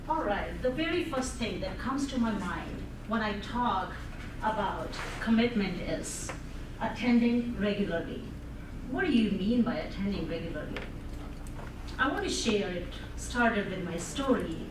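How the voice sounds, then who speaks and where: A woman with an Indian accent giving an interactive speech, asking the audience questions and laughing often. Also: medium pitch. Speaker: public speaker. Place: conference room with audience. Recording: echoey room, voice from far off, noisy.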